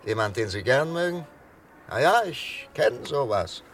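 A man playing a calm, coaxing psychiatrist in a 1960s German radio thriller, talking in a hesitant, conversational way. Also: medium pitch, measured pace. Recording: 1963 radio recording, faint noise.

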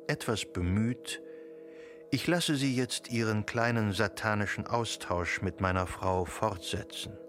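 Gravelly male narrator over music. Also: mid-range voice. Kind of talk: literary scripted narration for radio drama.

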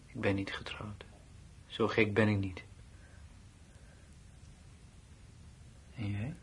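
An actor playing a blunt, uneasy soldier in a Dutch radio drama, with plain, colloquial, matter-of-fact delivery. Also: male voice, lowish voice, little pitch movement, moderate pace, breathy edge. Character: blunt, uneasy male soldier left behind at his post. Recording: noisy old radio play recording.

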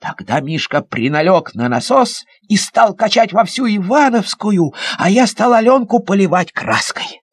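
A man narrating a children's audio story as a young boy, in an animated, theatrical way with childlike enthusiasm. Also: high-pitched, a little slow, hardly any pauses, no echo. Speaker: audiobook performer.

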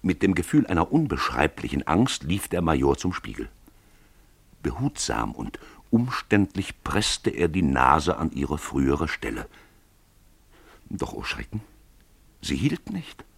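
A man with a husky voice narrating a literary radio play from a script, as a storyteller. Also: rumbling low, very animated, measured pace, pauses often, dry recording.

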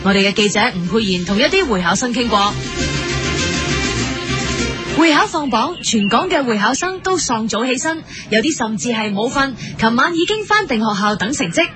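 A woman's voice: a little high, very animated, moderate pace, music in the background. A woman playing an earnest news anchor, reading a scripted bulletin in Hong Kong Cantonese for a radio comedy. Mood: formal, earnest. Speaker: newsreader.

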